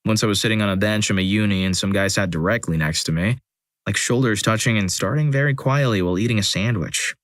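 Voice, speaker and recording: A man narrating a compilation of first-person stories in a steady, scripted reading, with dry, wry asides. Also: on the low side, fast talker, dry recording.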